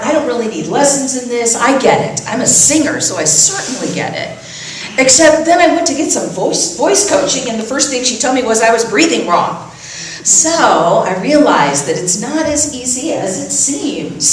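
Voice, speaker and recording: A woman minister leading a breathing exercise during a church service, chatty and self-deprecating with gentle instructions. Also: on the high side, slight background noise. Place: church sanctuary. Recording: roomy hall, voice from far off, faint ambience.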